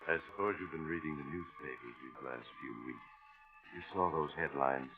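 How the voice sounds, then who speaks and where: Casting: mysterious host of radio thriller, deep, ominous and suave, narrow vintage sound. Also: male voice, moderate pace. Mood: confiding. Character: sinister, genial mysterious traveler storyteller. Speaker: host and narrator. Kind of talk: 1940s radio suspense narration. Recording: tinny vintage radio recording, loud music.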